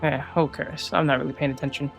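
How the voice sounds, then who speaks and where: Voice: medium pitch, little pitch movement, quick pace, creaky, over music. A game streamer giving casual let's-play commentary in an offhand way, muttering as if to themselves. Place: indoor gaming setup, game music behind.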